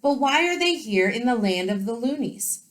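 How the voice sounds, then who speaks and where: A woman's voice: a little high, sing-song, normal speed, voice pushed up. A woman voicing a silly, fickle, blustery loony king who exclaims and ponders aloud in a children's storybook. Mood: demanding.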